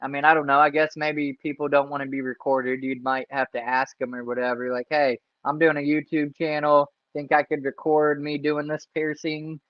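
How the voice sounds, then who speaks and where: A man's voice: fairly high pitch, level tone, moderate pace, few pauses, dry recording. Laid-back man hosting a livestream. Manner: rambling, laid-back, lots of fillers. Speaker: stream host. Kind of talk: casual livestream interview. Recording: dry, dull lo-fi stream audio.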